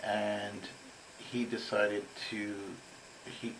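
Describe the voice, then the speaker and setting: A man's voice: fairly low pitch, monotone, very slow. A man from London with a London English accent, giving a casual personal account as an interview guest. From London, England. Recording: noticeable background noise.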